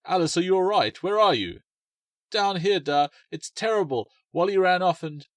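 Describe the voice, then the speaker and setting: A man's voice: high-pitched, animated, normal speed, a bit bright, dry recording, pitched above the performer's own voice. A man voicing a distressed young girl who pleads and calls out urgently in a storybook reading. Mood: distraught bawling. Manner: raised, urgent, exclaiming delivery.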